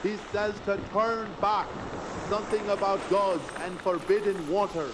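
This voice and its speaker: An actor playing an alert sailor on lookout in a radio drama, giving clipped reports. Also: male voice, sits high, fairly even intonation, normal speed, close to an everyday voice. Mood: tense, alert. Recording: echoey, very noisy sea effects.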